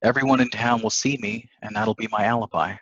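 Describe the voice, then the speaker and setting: A man's voice: on the low side, steady tone, a little fast, fairly bright tone, slightly rough, no echo. A man playing a coldly calculating newlywed husband in a table reading of a stage play, in dull audio. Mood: persuasive, sly.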